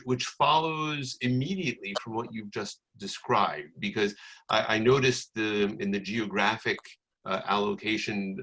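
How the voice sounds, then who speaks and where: An older man with a New York accent introducing a webinar panel in a semi-formal, halting way with pauses mid-sentence. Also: on the low side, a bit bright, poor audio. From New York City, United States.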